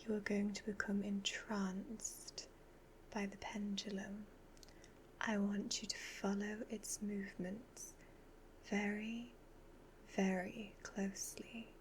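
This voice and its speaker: A woman playing a sly, commanding evil witch fortune teller in a soft-spoken ASMR roleplay, half-whispering slow, measured instructions. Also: medium pitch, little pitch movement, slight background noise, close to an everyday voice, rough recording. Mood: soothing, commanding.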